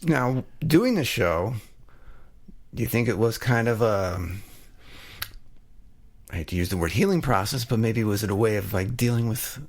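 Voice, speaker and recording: Man, podcast host, low-pitched, hesitant, searching for words, interview questions. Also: expressive, moderate pace, long pauses.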